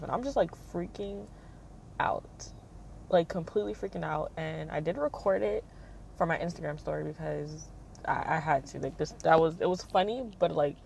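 A young woman hosting her own podcast, talking casually in a monotone, rambling manner with lots of fillers. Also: creaky, slight background noise. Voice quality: monotone, low-key delivery. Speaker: podcast host. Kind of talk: casual personal monologue.

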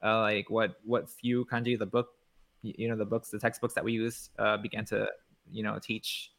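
A man from Puerto Rico, Spanish his mother tongue, talks as a guest translator. His mid-pitched voice has a gravelly edge, and he rambles eagerly with plenty of fillers. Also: level tone, normal speed, a bit bright. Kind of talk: casual interview chat.

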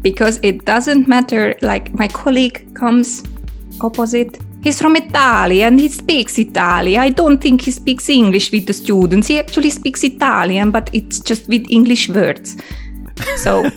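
Woman, Hungarian accent, rambling chatty co-host, gravelly, faint music under her. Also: on the high side, expressive, normal speed. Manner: rambling, chatty storyteller with fillers. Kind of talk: casual chat.

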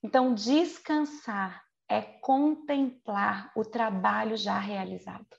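A woman in her forties with a Brazilian Portuguese accent gives a conversational sermon, stretching key words for emphasis between quick chatty asides and checking in with her listeners through rhetorical questions. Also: medium pitch, creaky, dull audio. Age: 40s. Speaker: preacher.

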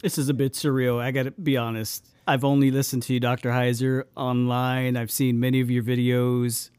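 A man's voice: mid-range voice, flat delivery, normal speed, darkish tone, smooth. A man co-hosting a casual podcast, talkative and enthusiastic. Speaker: podcast co-host.

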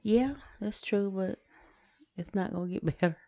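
A woman's voice: medium pitch, moderate pace, dark tone. A woman co-hosting a podcast, talking casually and plainly, often repeating short phrases. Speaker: podcast co-host. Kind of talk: casual conversation.

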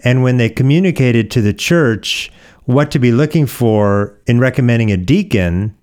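Clear-voiced American man, measured and thoughtful. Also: medium pitch, lively intonation, darkish tone. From Oregon, United States. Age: middle-aged. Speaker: pastor, interview guest. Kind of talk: thoughtful conversational explanation.

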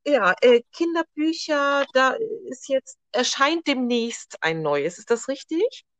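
A woman's voice: high-pitched, animated, slow, no room sound. A woman hosting a podcast interview, friendly and hesitant, with many fillers. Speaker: podcast host. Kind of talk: casual interview.

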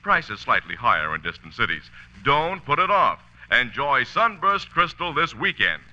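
A man's voice: medium pitch, sing-song, normal speed, bright, forceful tone. A man reading a 1940s radio advertisement as an emphatic, polished commercial announcer. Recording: dull vintage radio with faint music.

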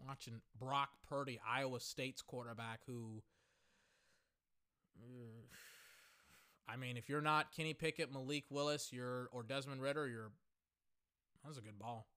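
A young man hosting a podcast, reacting live while he watches, excitable and swearing freely, his voice going hoarse. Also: mid-range voice, unhurried, pauses often. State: voice getting hoarse. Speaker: podcast host.

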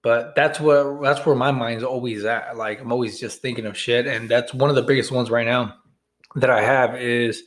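Male podcast co-host, medium-pitched voice, rambling and frank, casual swearing. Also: steady tone, normal speed. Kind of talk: casual chat.

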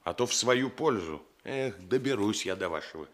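A male actor playing a gruff, principled senior policeman in a radio play, plainspoken, homely and paternal. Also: on the low side, animated, normal speed, close to an everyday voice. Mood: disapproving.

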